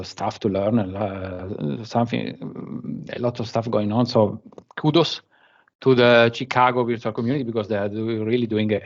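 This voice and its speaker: A man in his forties from Italy with an Italian accent, giving a remote conference presentation from his office and often pausing to search for words. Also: fairly low pitch, a little mellow, lo-fi sound. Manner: halting, searching for words between phrases. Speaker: conference speaker.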